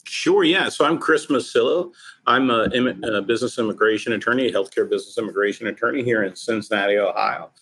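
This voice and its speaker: A man's voice: mid-range voice, fast, a bit bright, slightly rough. A man, a guest attorney, talking casually and conversationally.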